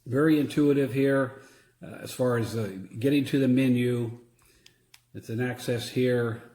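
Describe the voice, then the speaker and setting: A man giving a casual, unscripted product demonstration in a halting, plain-spoken way. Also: mid-range voice, fairly even intonation, warm tone. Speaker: product reviewer; church security team member. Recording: roomy echo.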